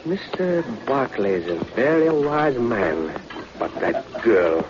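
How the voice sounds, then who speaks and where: Polite, watchful restaurant man, animated pitch. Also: slow, highs cut off. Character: courteous, watchful male restaurant owner, loyal ally. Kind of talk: 1940s radio adventure acting. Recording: noisy, band-limited old radio recording.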